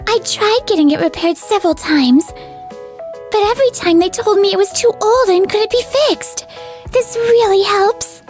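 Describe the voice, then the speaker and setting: A woman playing an earnest, anxious young factory manager in a manga dub, polite and expressive. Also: very high voice, a little slow, faint music behind. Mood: amazed, grateful. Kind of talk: scripted manga dub acting.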